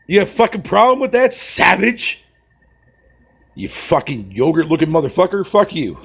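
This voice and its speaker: A man's voice: sits high, gravelly, slightly rough. A man with a Canadian accent chatting casually on a live stream, swearing a lot and laughing often. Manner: profane, rambling, laughs often.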